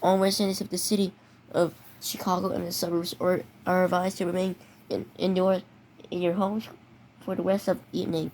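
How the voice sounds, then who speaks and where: A voice in a fan-made emergency alert, reading warnings haltingly, with frequent restarts and stumbled words. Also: female voice, fairly low pitch, flat delivery, darkish tone, a little hiss or hum, poor audio. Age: teenager. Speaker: mock emergency alert announcer. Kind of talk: improvised fake emergency broadcast.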